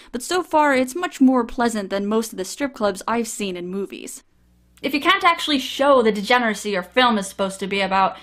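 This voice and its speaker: A woman's voice: fairly high pitch, fairly bright tone. Sardonic woman film reviewer. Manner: sardonic, swears casually.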